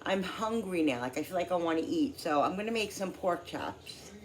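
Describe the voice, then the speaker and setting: A woman's voice: fairly low pitch, expressive, moderate pace. A middle-aged woman with a cold, chatting casually and rambling with many fillers while she cooks in her kitchen. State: congested with a cold. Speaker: cooking vlogger. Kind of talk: casual chat while cooking. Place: home kitchen.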